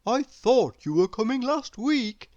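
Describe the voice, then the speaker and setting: A woman's voice: on the high side, big pitch swings, unhurried, warm tone, dull audio, voice pushed up. Woman reading as a bumbling, polite storybook brother. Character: bumbling, hospitable storybook brother, adult man.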